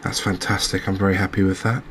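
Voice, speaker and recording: Casting: male game streamer, deep and flat-toned, understated ASMR commentary, slight background noise. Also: fast. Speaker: gaming channel host.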